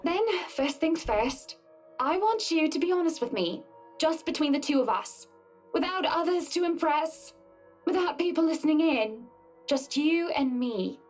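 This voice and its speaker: An actress playing a poised, weary, sardonic wife in a game, with a measured, dry delivery. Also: female voice, high-pitched, animated. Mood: guarded, probing. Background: game music.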